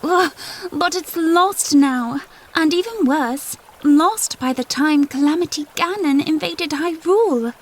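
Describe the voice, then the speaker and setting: An actress playing a young, inquisitive princess in an audio drama, speaking in an earnest, questioning way. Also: female voice, high voice, slow, hardly any pauses. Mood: frustrated.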